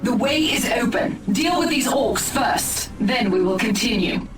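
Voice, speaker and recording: A woman's voice: a little high, expressive, measured pace, roomy sound, distant mic, music in the background. A woman voicing a haughty, commanding alien elf war leader in a video game, formal and imperious.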